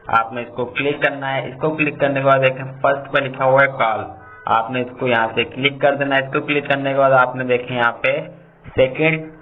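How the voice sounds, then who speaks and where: A man hosting a tutorial, explaining steps in a repetitive, instructional way. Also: mid-range voice. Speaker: tutorial host. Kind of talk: step-by-step phone tutorial narration. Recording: tinny phone-like audio, background noise.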